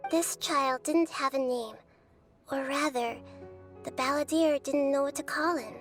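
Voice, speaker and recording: A woman voicing a serene, wise female guide in a video game, telling history in a measured, formal way. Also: high voice, faint music behind. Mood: solemn. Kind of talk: composed scripted exposition, game voice acting.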